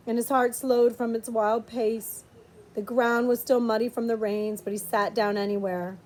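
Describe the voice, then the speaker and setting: A woman reading a storybook aloud in an expressive, dramatic way with drawn-out pauses. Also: a little high, rough recording. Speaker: audiobook reader, librarian.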